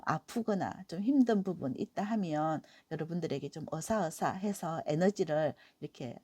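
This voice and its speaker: A middle-aged woman with a cold-roughened voice gives a weekly tarot reading in a gentle, encouraging way, with many soft fillers. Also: deep voice, dry recording. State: hoarse from a cold. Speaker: tarot reader.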